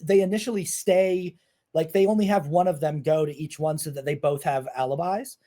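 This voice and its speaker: A man with a fairly high voice and a soft, mellow tone hosts a film podcast. He talks casually and feels his way through thoughts, restarting and correcting himself as he goes. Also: fairly even intonation, fairly quick.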